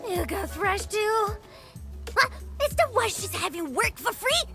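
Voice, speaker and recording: A woman's voice: very high-pitched, sing-song, measured pace, music in the background, full character voice. An actress voicing a brash young feline girl in a video game, boastful and scrappy, with playful taunts and slangy talk. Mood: cheeky, playful.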